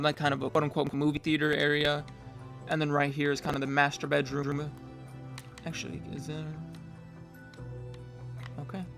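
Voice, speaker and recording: A young man casually touring his game world, rambling and correcting himself, his voice getting hoarse. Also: a little high, a bit bright. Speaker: gamer giving a world tour.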